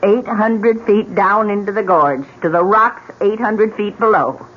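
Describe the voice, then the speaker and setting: A woman's voice: mid-range voice, a little slow, natural voice. A woman playing a bitter, needling old widow who tells ominous tales in an old-time radio drama. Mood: ominous, dramatic. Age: older. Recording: muffled, noisy vintage radio recording.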